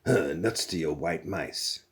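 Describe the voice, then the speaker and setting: Careless swaggering captain, casual and slightly fumbling, low voice; male reader. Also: slow pace. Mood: offhand. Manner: casual, offhand, stumbling. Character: laid-back, careless male space captain. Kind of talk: audiobook character voice.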